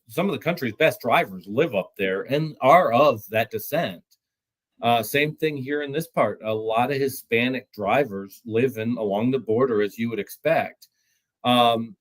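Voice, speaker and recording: Mid-pitched male guest, matter-of-fact and explanatory, occasional stammering restarts. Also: rough recording. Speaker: trucking recruiting executive, guest. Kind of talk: podcast discussion.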